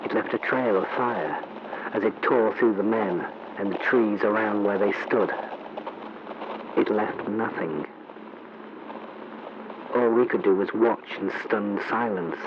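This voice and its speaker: An actor playing a grave, shaken male war reporter giving a solemn, formal eyewitness account in a 1930s radio broadcast style. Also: lowish voice. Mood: awed, haunted. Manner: solemn, deliberate, formal narration. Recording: noisy, muffled vintage radio recording.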